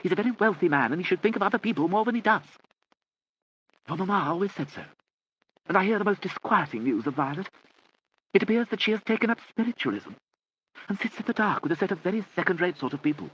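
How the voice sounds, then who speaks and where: A man reading an audiobook, voicing a vague, hard-of-hearing elderly aristocratic aunt who talks in a soft, wandering, genteel way. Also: sits high, lively intonation, quick pace, pauses often, breathy edge, muffled recording, voice pushed up, exaggerated voice.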